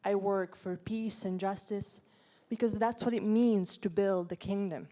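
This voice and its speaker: A woman who grew up in northern Italy introducing herself, calm and reflective. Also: mid-range voice, a little slow. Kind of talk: personal introduction at a conference.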